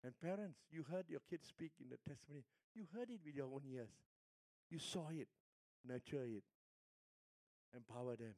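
Older Singaporean pastor preaching. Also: male voice, a little high, expressive, moderate pace, long pauses, dry recording, low-quality recording. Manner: storytelling, swings between hushed and emphatic. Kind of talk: sermon.

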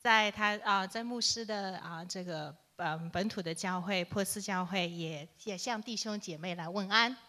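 A middle-aged woman interpreting a sermon live, halting and piecing it together in short phrases. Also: medium pitch, pushed and bright.

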